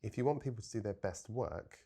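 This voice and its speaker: A man's voice: on the low side, fairly quick, mellow, slightly rough. A man co-hosting a podcast. He joins in enthusiastically and agrees with his guests. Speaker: podcast co-host. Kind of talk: casual conversation.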